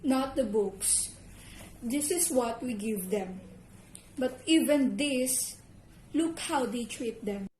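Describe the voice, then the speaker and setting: A woman's voice: fairly high pitch, expressive, slow, a little mellow. A woman acting a cynical, dismissive school staffer in an amateur stage play. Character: cynical, dismissive school librarian or administrator guarding her books. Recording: echoey room with background noise.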